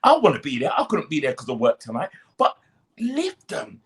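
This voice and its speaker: A man with a slightly high voice speaks as a fan guest in a podcast chat. His pitch swings widely as he makes emphatic, rallying points. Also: wildly swooping pitch, normal speed, crowd noise.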